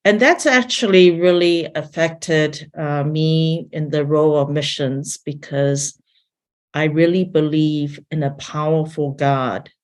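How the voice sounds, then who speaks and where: A woman from Taiwan who grew up in several countries, a missions leader sharing her faith story earnestly and haltingly as an interview guest. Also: low voice, fairly even intonation. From born in Taiwan, grew up in several countries. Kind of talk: personal testimony in an interview.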